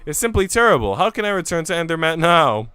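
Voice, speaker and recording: A man's voice: on the high side, big pitch swings, fast talker, bright, forceful tone, clean tone, faint music behind, pitched above the performer's own voice. A man voicing a pitiful, homesick young Swiss maid in a visual novel, with a plaintive, tremulous manner.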